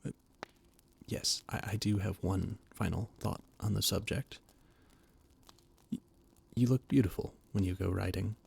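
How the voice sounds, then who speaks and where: Low, slow, gravelly male voice actor, nervous courtly stammer, audio roleplay. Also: a little mellow, slight background noise. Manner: stammering, flustered, formal and courtly. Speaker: voice actor playing a flustered royal adviser. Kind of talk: audio roleplay, scripted monologue.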